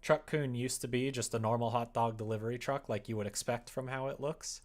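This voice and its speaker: A man's voice: medium pitch, steady tone, a little fast. A man chatting casually on a livestream while he works, thinking aloud in a rambling, hesitant way. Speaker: livestreamer making a visual novel. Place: indoors at home.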